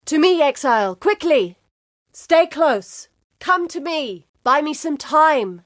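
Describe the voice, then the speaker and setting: A woman's voice: sits high, animated, takes it slowly, a bit bright, no room sound, clean studio sound, exaggerated voice. An actress playing an earnest, determined woman guide in a video game, with a grave, articulate delivery. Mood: urgent, alarmed. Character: earnest, determined woman guide searching for her lost father. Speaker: game character voice. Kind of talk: dramatic video game voice acting.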